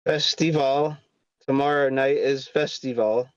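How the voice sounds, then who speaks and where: A man's voice: mid-range voice, steady tone, a little slow, dry recording, close to an everyday voice. A man playing a diplomatic, pleading herald in a screenplay table read over a glitchy online call. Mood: formal. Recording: dull, glitchy online voice call, faint music.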